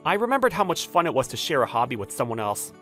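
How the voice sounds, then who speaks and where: A man's voice: mid-range voice, very animated, quick pace, bright, forceful tone. A man playing a flustered, jilted bachelor who narrates his awkward romance with dramatic, exasperated inner monologue in a manga dub. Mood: reflective narration. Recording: background music under the voice.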